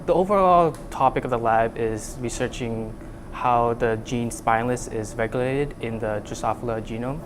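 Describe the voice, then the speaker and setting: A young man from Vietnam speaks earnestly about his lab work, circling back through fillers and restarts. His pitch sits mid-range. A steady air-conditioning hum runs underneath the recording. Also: normal speed. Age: young adult. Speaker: student researcher. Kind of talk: casual interview. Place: lab room.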